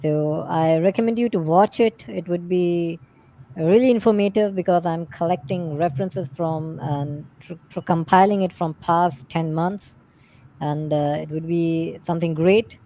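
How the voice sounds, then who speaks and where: A man whose first language is Urdu, speaking English haltingly as a stream guest. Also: on the high side, mellow, slight background noise. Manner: halting, searching for words in English. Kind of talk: livestream discussion.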